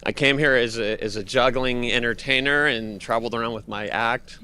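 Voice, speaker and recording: A man's voice: medium pitch, normal speed, pushed and bright, clean tone. A middle-aged man giving a casual account of himself as an interviewee. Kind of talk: casual spoken self-introduction.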